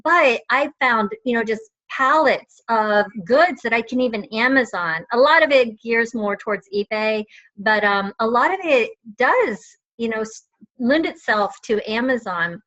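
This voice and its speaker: A middle-aged woman with a high, bright voice, chatting eagerly and rambling with plenty of fillers. Her audio comes through a video call and sounds dull and lo-fi. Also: animated, a little slow. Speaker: guest, online reseller. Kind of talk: casual video chat.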